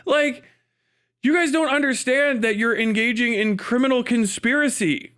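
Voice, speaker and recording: A man's voice: very high voice, normal speed, fairly bright tone, clear, resonant tone. A man giving an unscripted livestream commentary rant in a blunt, exasperated and incredulous manner. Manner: exasperated, incredulous, often laughing mid-sentence; sweary, blunt. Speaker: live streamer.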